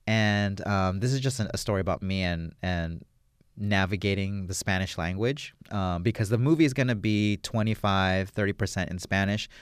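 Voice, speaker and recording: Man, film director, enthusiastic, rambling, lots of fillers, smooth, dry close sound. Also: sits low, normal speed, dry recording. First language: English. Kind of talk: casual interview answers.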